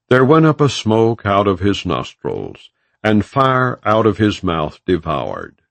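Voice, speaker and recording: A man reading scripture as an audiobook narrator, in a measured, solemn and formal delivery. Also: low-pitched, gravelly, no echo.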